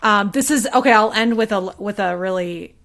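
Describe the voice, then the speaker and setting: A woman's voice: mid-range voice, normal speed, vocal fry, a bit bright. Female podcast guest, mid-range voice, loose informal conversational delivery. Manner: informal, filler-heavy talk peppered with "like". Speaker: podcast guest, local journalist. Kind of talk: casual podcast chat.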